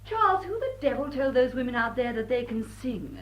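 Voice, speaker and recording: Young society lady for radio drama: upper-class English, blunt, teasing, highish and lively. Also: brisk. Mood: imperious, teasing. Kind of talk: British radio drama acting.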